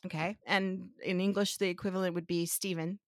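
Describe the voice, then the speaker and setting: A woman's voice: on the low side, little pitch movement, a little fast, no echo, clean studio sound. A woman hosting a casual podcast, thinking aloud with restarts and rhetorical questions, a native Spanish speaker. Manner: explains step by step to her co-host. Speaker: podcast host.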